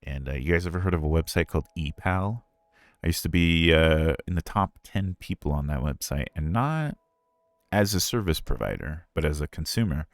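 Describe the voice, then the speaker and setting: A man with a very deep natural voice telling stories casually on a livestream, candid and self-deprecating in a conversational way. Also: animated, normal speed, no echo, studio quality. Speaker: streamer and ASMR roleplay voice performer answering a Q&A.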